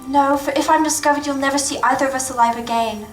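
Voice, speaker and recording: Actress doing urgent secretive thief girl in a stage radio drama, high voice, far off mic, music behind. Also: fairly even intonation, fast talker, natural voice. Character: desperate, brave young woman from a thieves' gang. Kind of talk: staged radio play acting. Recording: echoey stage recording from far off.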